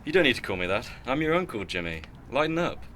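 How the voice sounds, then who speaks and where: A man acting a well-off, boastful, stern middle-aged uncle in a radio drama, with a pompous, measured way of talking. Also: medium pitch, sing-song, pushed and bright, a little hiss or hum.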